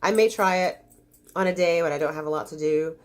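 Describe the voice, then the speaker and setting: A woman's voice: on the low side, a little fast, a little hiss or hum. A woman beauty vlogger with a congested voice, chatting casually and gushing as she unboxes makeup samples. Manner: chatty, gushing, easily disappointed.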